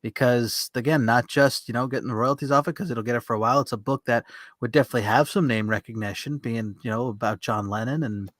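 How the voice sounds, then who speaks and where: A man's voice: medium pitch, fairly bright tone, no room sound. A man with a mid-range voice hosts a casual interview. He speaks informally and conversationally, like someone chatting with a friend. The recording is dry, without audible room reflections. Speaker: podcast host, interviewer. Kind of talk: casual chat.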